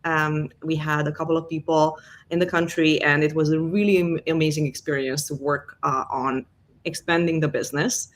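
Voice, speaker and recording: A woman's voice: low voice, fairly even intonation, moderate pace. A woman with a Hungarian accent, a guest in an interview, talking through her career in a conversational way with many fillers. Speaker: guest, revenue enablement executive.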